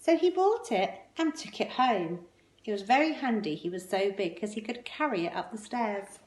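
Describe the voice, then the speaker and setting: A woman reading a children's picture book aloud expressively, with measured, emphatic phrasing and pointed pauses. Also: medium pitch, expressive, darkish tone. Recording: roomy, echoing indoor sound.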